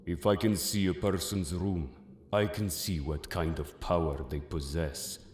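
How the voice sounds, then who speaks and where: A man's voice: sits low, steady tone, measured pace, a little mellow, slight background noise. A man playing a solemn, mysterious Egyptian mystic in an audio drama, speaking in a grave, portentous manner. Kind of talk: audio drama acting of a manga. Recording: echoey, long cavernous reverb.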